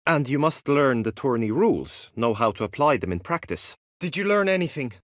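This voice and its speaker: An actor playing an earnest, lovestruck young knight in a video game, speaking in a courtly, theatrical manner with formal archaic phrasing. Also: male voice, medium pitch, sing-song, normal speed, a bit bright, no echo, clean studio sound. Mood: eager, instructive.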